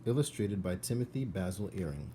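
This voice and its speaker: A man reading a children's storybook aloud in an expressive narrating style. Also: sits low, brisk, background noise.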